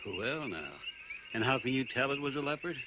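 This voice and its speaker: A man playing a skeptical playwright, narrating his safari in measured, wry phrases for a radio drama. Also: mid-range voice, expressive, background noise, natural voice. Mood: uneasy banter.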